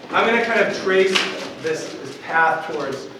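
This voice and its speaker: A man in his forties from Buffalo, New York, giving a relaxed public lecture as a professor, with frequent ums and restarts. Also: a little high, normal speed. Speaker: university professor. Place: campus lecture hall. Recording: echoey hall from far off with noise.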